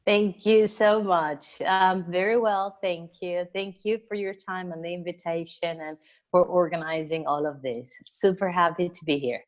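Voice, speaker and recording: A middle-aged woman from Venezuela, a wellness business coach, chatting at length as an interview guest with frequent fillers and soft laughs. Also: lowish voice, steady tone, moderate pace. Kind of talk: casual interview conversation.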